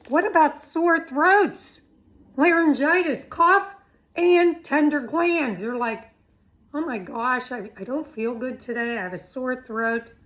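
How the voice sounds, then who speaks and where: Casting: middle-aged woman, high voice, informal health vlogger, teasing and animated, plenty of rhetorical questions. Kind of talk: informal, chatty health talk to camera.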